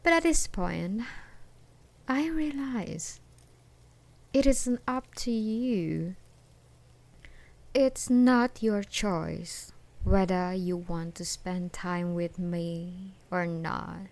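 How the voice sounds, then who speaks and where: A woman playing an obsessive, menacing jilted ex-girlfriend in an intimate ASMR roleplay, drawing out her taunts. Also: on the low side. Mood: bitter, possessive. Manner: slow, menacing, drawn-out taunting with dark chuckles and whispers.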